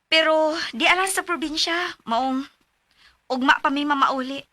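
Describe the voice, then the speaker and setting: A woman's voice: high-pitched, unhurried, bright, forceful tone. A woman acting a sweet, evasive teenage schoolgirl in a radio drama, with a Cebuano Filipino accent. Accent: Filipino, Cebuano-speaking.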